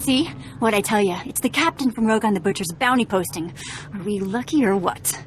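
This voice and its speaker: A woman's voice: medium pitch, brisk, a little hiss or hum, close to an everyday voice. A woman voicing a brash, pushy hired gun in a video game, with sassy, informal delivery. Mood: gloating.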